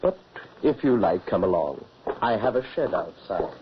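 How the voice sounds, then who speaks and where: An actor playing a wary widowed father on the run, earnest and measured, in old radio drama acting. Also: low voice, lively intonation, a little hiss or hum. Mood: recounting. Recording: dull old radio recording.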